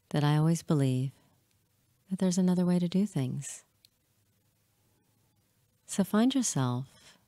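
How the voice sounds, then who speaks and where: A woman leading a hypnotic guided meditation in a soothing, measured way, pausing often between short phrases. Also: sits low, dark tone, no echo, clean studio sound. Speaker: hypnotist guiding listeners.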